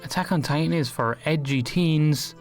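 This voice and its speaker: A man's voice: on the high side, normal speed. A man delivering scripted comedic video narration in a crude, sardonic manner, as a video essayist. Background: background music.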